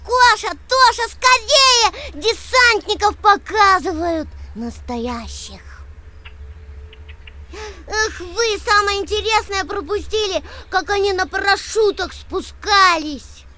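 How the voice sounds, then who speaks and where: An actor voicing a playful, boastful, naive little kitten boy with a childlike squeaky voice in a children's cartoon. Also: lively intonation, slow pace, pushed and bright, faint music behind, heavily acted. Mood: excited.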